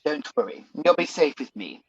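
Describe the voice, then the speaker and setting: Guy acting sneaky radio panto baddie, smooth talker, slightly muffled recording, no room sound. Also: a little high, huge pitch swings, brisk, no echo, heavily acted. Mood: persuasive, urging. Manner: smooth-talking, persuasive, insinuating. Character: scheming male villain posing as a soothing conscience.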